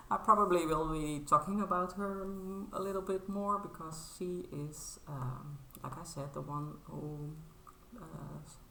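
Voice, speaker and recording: A woman's voice: deep voice, steady tone, unhurried, vocal fry, pushed and bright. Woman, 30s, Dutch accent, non-native English, hesitant, lots of fillers, echoey room, faint background noise. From Netherlands. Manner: hesitant, many fillers and restarts; modest and apologetic. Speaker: crafting vlogger. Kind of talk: casual show-and-tell vlog.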